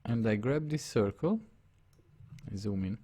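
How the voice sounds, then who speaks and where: A man's voice: lowish voice, expressive, measured pace, warm tone. A man with a Hungarian accent, a native Hungarian speaker, talking through a live drawing tutorial step by step and often checking that the viewer follows. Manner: narrates his on-screen steps, often checks the viewer follows.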